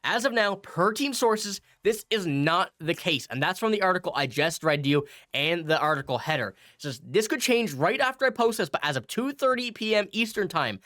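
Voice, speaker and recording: Young male sports talker, slightly high voice, stuffy with a cold, rambling, dry close sound. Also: animated, normal speed, hardly any pauses, bright, forceful tone, no echo. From Newfoundland, Canada. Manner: rambling, restarts often with fillers. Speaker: sports news host. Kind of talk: casual commentary.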